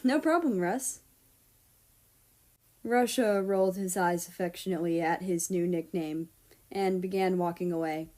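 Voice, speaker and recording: A woman's voice: on the low side, steady tone, normal speed, dark tone. A woman narrating a scripted story as a reader of fan fiction.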